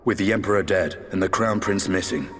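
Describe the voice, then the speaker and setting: An actor playing an aloof, gruff lancer in a fantasy game cutscene, speaking tersely in formal, archaic phrasing. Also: male voice, sits low, fairly quick, close to an everyday voice. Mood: grave, matter-of-fact. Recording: long reverb, music behind.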